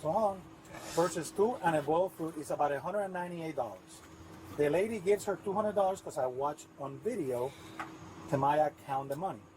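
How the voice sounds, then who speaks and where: A man's voice: on the high side, brisk, darkish tone, slight background noise, poor audio. A man with a Puerto Rican accent, a police officer patiently explaining a case step by step at a front door. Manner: patient, detailed, methodical. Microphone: body camera.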